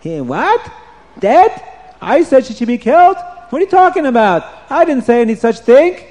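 A man voicing a hungover, bewildered king who calls out for his wife in high wails. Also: a little fast, a little mellow. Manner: whiny, calling out in high wails.

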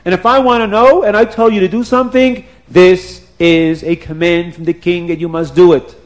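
A man voicing an excitable, bossy, easily offended king in a children's storybook tale, with demanding, repetitive questioning. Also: high-pitched, normal speed, pitched above the performer's own voice. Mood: angry, commanding.

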